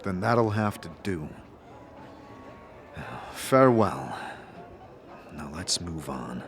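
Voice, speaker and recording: An actor playing a laconic, dry-humoured and somewhat hesitant wanderer in an audio drama. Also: male voice, low voice, very animated, extremely slow, slight background noise, heavily acted. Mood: dry, resolved. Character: weary, dry-humoured male wanderer, curious and polite. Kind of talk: scripted audio drama acting.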